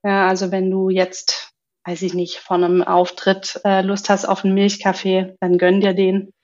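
A woman working as a voice coach and speech therapist, explaining calmly in a podcast interview and often humming along in agreement. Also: medium pitch, moderate pace, muffled recording. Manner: calm, explanatory, with frequent affirming hums.

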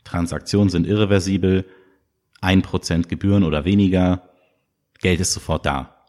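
A man from Munich, a guest on a casual podcast conversation, telling stories in a lively way and chuckling as he talks. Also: low-pitched, moderate pace. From Munich, Germany. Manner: lively storyteller, chuckles while talking. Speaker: podcast guest, crypto shop founder.

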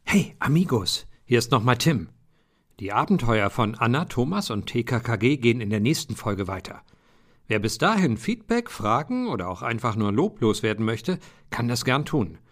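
A man's voice: mid-range voice, big pitch swings, normal speed. A man reading a scripted podcast outro as its announcer.

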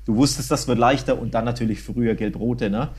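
A man's voice: medium pitch, fairly quick, darkish tone, husky. Guy podcast host doing casual sports talk, colloquial and opinionated, everyday mid voice.

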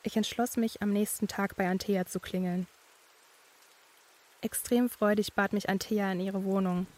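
Young female student narrator, read by an actress, medium pitch, flat intonation, measured eerie storytelling. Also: close to an everyday voice. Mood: measured, uneasy. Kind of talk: scripted first-person horror story narration.

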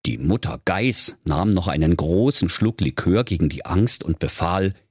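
A male storyteller narrates a comic fairy tale with mock solemnity. His voice is fairly low and raspy, his melody rises and falls widely, and the recording is dry and close. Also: animated, unhurried, no echo.

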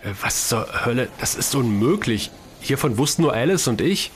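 A man's voice: mid-range voice, animated, normal speed, slight background noise, close to an everyday voice. An actor playing a blunt, wary man in an amateur science fiction audio drama. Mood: wary, irritated. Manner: suspicious, blunt. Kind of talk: hobby sci-fi audio drama acting.

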